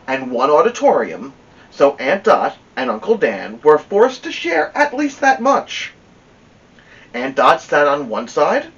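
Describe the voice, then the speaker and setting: A man reading a children's novel aloud as an expressive storyteller, with dramatic pauses and drawn-out emphasis on key words. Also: mid-range voice. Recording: dull lo-fi sound, distant from mic.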